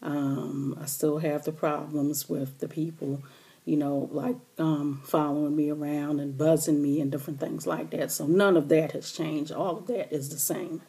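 Woman, middle-aged, hoarse, low pitch, hushed rambling talk, webcam in a bedroom. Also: level tone, moderate pace. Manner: talking low and hushed, rambling with many fillers. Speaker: vlogger. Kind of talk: casual direct-to-camera update. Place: small bedroom indoors. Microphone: webcam or laptop camera close up.

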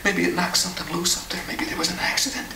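A man acting in an audio drama as an uneasy small-town veteran, confiding in a halting, hushed way. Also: sits high, flat delivery, creaky. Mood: speculating. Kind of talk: naturalistic radio drama acting. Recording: hissy, roomy, distant tape.

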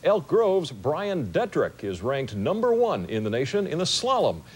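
American man, middle-aged news anchor, lively swooping delivery, slightly high pitch, old noisy broadcast tape. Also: a little slow. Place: TV news studio.

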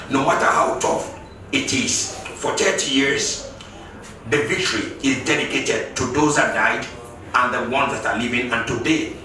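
Middle-aged man, echoey press room. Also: medium pitch. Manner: expansive, emphatic, rising on key points. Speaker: winning football coach. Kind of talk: post-match press conference. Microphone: table mic at a distance. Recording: echoey room, mic at a distance.

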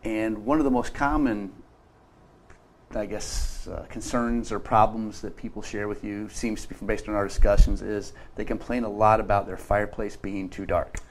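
Low-voiced host with rambling questions. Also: male voice, steady tone, normal speed, slight background noise. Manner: friendly, meandering questions with restarts. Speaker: show host. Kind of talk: casual studio interview. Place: bright studio set at a table.

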